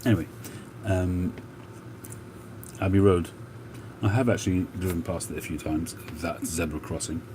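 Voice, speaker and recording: A man with a Cockney-tinged London accent, chatting slowly and calmly on a livestream with many pauses and fillers. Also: deep voice, background noise. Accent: London, Cockney with a North London mix. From England. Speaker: livestream host. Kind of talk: relaxed live chat.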